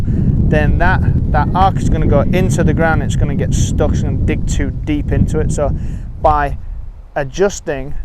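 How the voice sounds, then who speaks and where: A man's voice: fairly high pitch, a little mellow, a little hiss or hum, low-quality recording. A man giving step-by-step golf instruction outdoors, often repeating and rephrasing as he explains.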